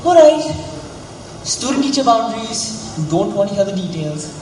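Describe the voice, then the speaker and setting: Actor as cocky, high-pitched man in echoey hall. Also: sing-song, normal speed, darkish tone. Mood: goading. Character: Jack, crude cocky womanizing man, needling and defensive. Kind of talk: stage play acting. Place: theatre stage, recorded from far off. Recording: echoey hall, distant mic, faint noise.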